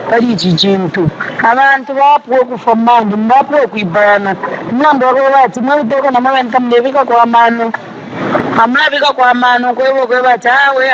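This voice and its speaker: A man with a Zambian accent giving spontaneous views as a member of the public. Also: very high voice. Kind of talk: spontaneous vox pop. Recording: dull audio with loud background noise.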